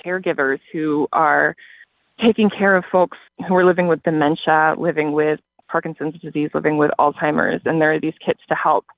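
A man's voice: on the high side, little pitch movement, normal speed, gravelly, no echo, narrow, tinny audio. An American man who works for a library system, answering interview questions conversationally.

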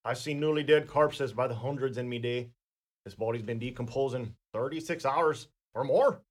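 An actor playing a courtly, pompous small-town Irish coroner in a radio play, speaking in an ornate, deliberate manner. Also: male voice, mid-range voice, natural voice. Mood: matter-of-fact, assured.

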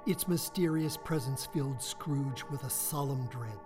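A solemn male narrator reading a ghost story in measured phrases. His delivery is slow and deliberate, with music playing underneath. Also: mid-range voice. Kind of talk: scripted narration.

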